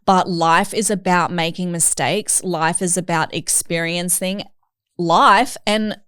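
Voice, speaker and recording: A woman's voice: fairly low pitch, fairly even intonation, takes it slowly, a bit bright, clean tone, no echo, clean studio sound. An Australian woman in her thirties with a thick Australian accent, hosting a podcast in a chatty, earnest way and laughing often. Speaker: podcast host. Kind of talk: casual chat.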